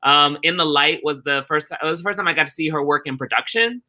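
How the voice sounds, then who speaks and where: A man giving reflective interview answers as a theatre director, earnest and deliberate, repeating phrases rhythmically for emphasis. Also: a little high, sharp tone.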